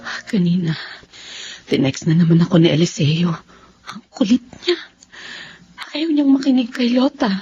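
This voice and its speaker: A woman's voice: on the low side, sing-song, moderate pace, long pauses. A woman with a Filipino accent acting a guilt-ridden, anguished married woman in an emotional, hesitant radio drama style. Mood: tearful, anguished. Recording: dull radio-drama sound with background music.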